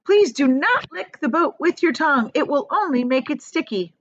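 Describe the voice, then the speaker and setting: A woman reader plays an eccentric male chocolate factory boss in a children's storybook. He is exuberant and bossy, pitched up high, swooping widely as he urges and exclaims with showy delight. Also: lively intonation, moderate pace, a bit bright, lo-fi sound. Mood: brisk, urging. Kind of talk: children's storybook read-aloud.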